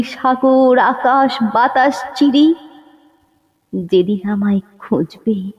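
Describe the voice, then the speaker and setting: A woman recites poetry with great deliberation and expressive pauses. Her medium-pitched voice is husky and breathy, rising and falling with dramatic feeling. It sits in a long reverb, with a slightly dull, lo-fi finish. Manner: expressive, pausing, swelling and dropping to hushed refrains. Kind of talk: dramatic Bengali poem recitation, slow and measured.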